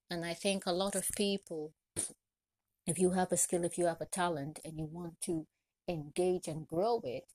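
A woman from Trinidad and Tobago hosts a solo podcast, sharing personal experience and encouragement with deliberate, repeated phrasing. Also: lowish voice, darkish tone, no room sound. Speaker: podcast host, chef and caterer. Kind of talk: solo podcast talk, motivational personal story.

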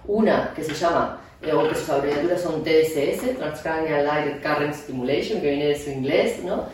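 Argentine-accented woman guest, explanatory, halting; echoey distant recording. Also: low-pitched, darkish tone, a little hiss or hum. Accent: Argentine Spanish. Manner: explanatory, many restarts and fillers. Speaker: neurologist guest. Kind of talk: interview answers. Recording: echoey room, distant mic.

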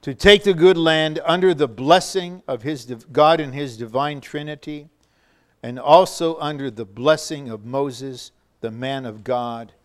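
A man preaching a sermon, measured and emphatic, with bursts of shouting. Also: a little high.

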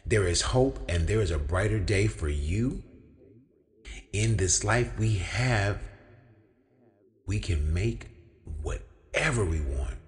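A young man delivering an inspirational spoken-word monologue as a storyteller, with deliberate, measured phrasing and emphatic pauses. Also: low-pitched. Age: young adult. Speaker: featured storyteller. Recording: long hall-like reverb on the voice.

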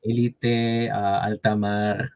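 Hesitant learner, slow and halting. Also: male voice, fairly low pitch, flat delivery, a little mellow, slight background noise. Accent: Taiwanese. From Taiwan. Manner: hesitant, many fillers and restarts, Spanish learner. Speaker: interview guest. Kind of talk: casual conversation.

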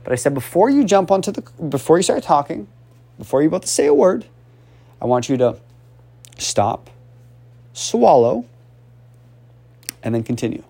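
A man giving casual coaching advice on speaking, animated and repetitive, often sighing and urging the listener on. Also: on the high side, moderate pace, creaky, long pauses, husky. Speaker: speech coach.

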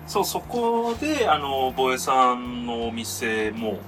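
A man's voice: medium pitch, lively intonation, slow pace, music in the background. A man co-hosting a podcast, joking in casual chat with friends and laughing readily. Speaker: podcast co-host.

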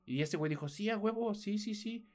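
A man's voice: high voice, brisk. A man with a Mexican accent ranting casually as a podcast co-host, exasperated and full of slang and swearing. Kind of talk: casual review rant.

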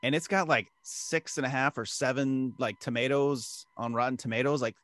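A man's voice: medium pitch, fairly even intonation, normal speed, fairly bright tone, dry recording. A man co-hosting a movie podcast, chatting casually and jokingly, often slipping into silly put-on accents. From American Midwest. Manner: jokey, puts on silly accents. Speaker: podcast co-host. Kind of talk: casual chat.

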